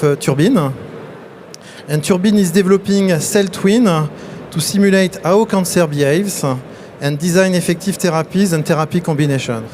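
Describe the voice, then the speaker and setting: A man moderating a conference panel on stage, halting with many fillers and restarts. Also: sits high, darkish tone, a little hiss or hum. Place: large exhibition hall on stage.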